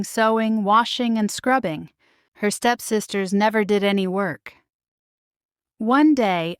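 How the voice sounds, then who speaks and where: Female children's storybook narrator, smooth and measured, very unhurried delivery. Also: medium pitch, no echo, clean studio sound. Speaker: storybook reader.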